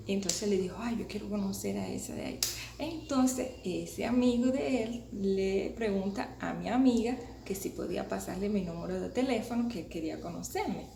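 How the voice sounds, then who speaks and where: A woman's voice: medium pitch, vocal fry, mellow. A Cuban woman in her thirties with a Cuban Spanish accent, talking casually to her viewers with many restarts and hesitations. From Cuba. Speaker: vlogger. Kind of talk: casual talk to camera. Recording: echoey room with background noise.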